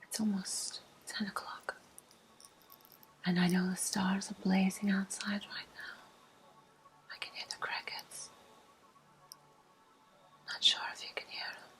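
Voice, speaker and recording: Slow, hushed woman with a soft crackle. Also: medium pitch, little pitch movement, soft background music. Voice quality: soft, breathy, often half-whispered. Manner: gentle, unhurried, pausing between short phrases. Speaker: ASMR video host. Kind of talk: soft-spoken ASMR, personal storytelling.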